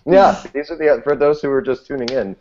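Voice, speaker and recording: A man's voice: medium pitch, brisk, darkish tone, dull audio, a little hiss or hum. A man talking casually and articulately about writing as a podcast guest, in wordy, playful phrasing. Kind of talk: casual discussion of fiction writing.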